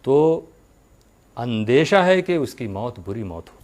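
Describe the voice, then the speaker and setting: A man giving a religious sermon, speaking earnestly to his followers with rhetorical questions and deliberate pauses. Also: medium pitch, very animated, darkish tone.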